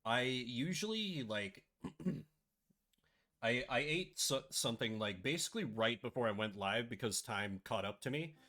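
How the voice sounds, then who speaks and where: A man hosting a casual live stream, chatty and playfully sarcastic, his voice a little rough and scratchy today. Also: medium pitch, animated, a little slow, bright, forceful tone.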